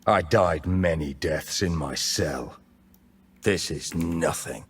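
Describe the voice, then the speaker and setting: A male actor voicing a defiant, roguish rebel mage and former prisoner in a video game, with theatrical, taunting delivery and a husky voice. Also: rumbling low, slow, full character voice. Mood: grim, resolute. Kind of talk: video game character voice lines.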